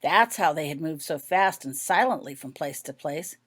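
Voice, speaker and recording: A woman's voice: low voice, animated, moderate pace. A woman reading her own story aloud as scripted audiobook narration.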